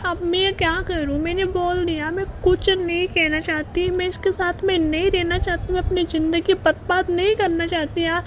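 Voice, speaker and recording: A man's voice: very high voice, little pitch movement, moderate pace, hardly any pauses, pitched above the performer's own voice. A man voicing an aggrieved, scheming young married woman who pleads and complains in a mimicked phone-call comedy skit. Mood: pleading, worried. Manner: plaintive, complaining. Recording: muffled, noisy phone-call style recording.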